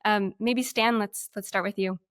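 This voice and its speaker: A woman hosting a webinar in a conversational way, feeling her way haltingly into her questions. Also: mid-range voice, steady tone, a bit bright, no room sound, studio quality. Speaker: webinar host, moderator. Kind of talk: conversational moderating.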